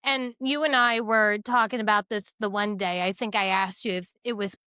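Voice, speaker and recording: Female co-host, American, bright crisp tone, relaxed conversational delivery, close dry recording. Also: mid-range voice, moderate pace, no room sound. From New Jersey, United States. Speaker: podcast co-host. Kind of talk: casual chat.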